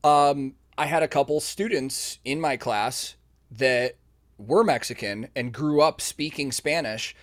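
A man's voice: medium pitch, a little slow. A man in his thirties hosting a podcast, talking casually with lots of fillers and restarts. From United States. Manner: chatty, rambling, many fillers and restarts. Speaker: podcast host. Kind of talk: casual conversational interview.

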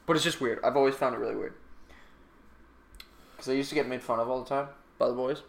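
A man's voice: medium pitch, steady tone, fast talker, gravelly. A man of twenty-four bantering casually and self-deprecatingly as a podcast host, swearing freely, his voice prone to cracking. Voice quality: voice cracks now and then. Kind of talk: casual profane banter with a friend. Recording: echoey room with faint background noise.

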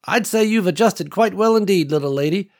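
A warm, wise old woman who has worked her land for decades, as a male narrator puts her on. She talks in an unhurried, folksy way, full of endearments, in a voice lifted somewhat above his own. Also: sits high, pitched above the performer's own voice. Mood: gentle. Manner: gentle folksy drawl with endearments.

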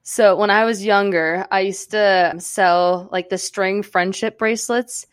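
Woman, 20s, podcast co-host; animated, playful, filler-heavy. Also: medium pitch, measured pace. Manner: animated, uses "like" a lot, playful. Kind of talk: casual chat.

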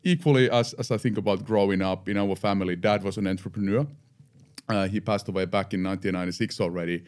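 A man's voice: low-pitched, fairly even intonation, quick pace, a little mellow. A middle-aged man from Finland with a Finnish accent, speaking English as a second language as a podcast guest, reflective and often restarting his words. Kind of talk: podcast interview.

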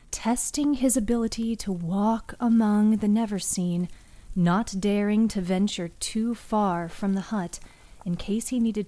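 A woman narrating a scripted spooky fiction podcast in a measured, hushed storytelling manner. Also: medium pitch.

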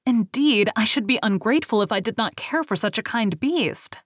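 A woman voicing a gentle, earnest young fairy-tale heroine with polite, pleading speech in a storybook reading. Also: mid-range voice, expressive, normal speed, no echo, clean studio sound, lightly acted. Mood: indignant.

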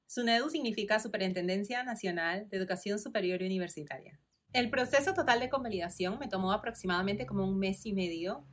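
A woman in her thirties with a Peruvian Spanish accent hosts her own podcast, talking straight to her listeners. Her voice sits at an ordinary middle pitch. She explains things clearly and in a friendly way, one step after another. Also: a bit bright. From Peru. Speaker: podcast host. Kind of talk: informative solo podcast.

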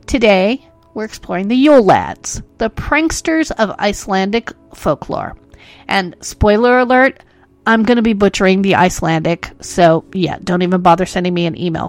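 A woman's voice: medium pitch, dry recording. A woman hosting a podcast who narrates history in a playful, self-mocking way full of jokes. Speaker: podcast host.

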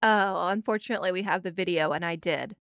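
A woman's voice: on the low side, fast talker, a bit bright, no echo, clean studio sound. An American woman co-hosting a podcast in casual, conversational chat. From United States. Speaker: podcast co-host.

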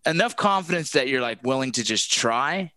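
A man's voice: medium pitch, lively intonation, moderate pace, pushed and bright, dry recording. A man hosting a casual interview, conversational and repeating words as he forms his questions. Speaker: podcast host, army veteran.

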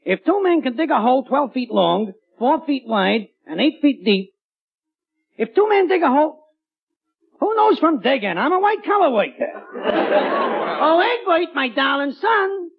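A man's voice: very high voice, big pitch swings, a little slow, gravelly, long pauses, a faint crowd, heavily acted. An actor playing a conceited, wisecracking neighbor and doting father in a 1940s radio comedy. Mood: smug. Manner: self-satisfied, wisecracking. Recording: dull vintage 1940s radio recording.

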